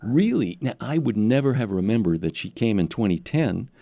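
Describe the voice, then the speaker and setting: Deep-voiced chatty male host. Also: sing-song, moderate pace, vocal fry, warm tone, no echo. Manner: rambling, chatty, asks follow-up questions. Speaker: podcast host. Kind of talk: casual interview chat. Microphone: headset.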